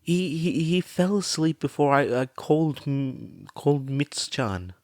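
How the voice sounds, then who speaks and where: Guy doing a soft stammery teary mom, pitched up, dry sound. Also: unhurried, no echo. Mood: tearful. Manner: meek stammering. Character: gentle tearful worried mother.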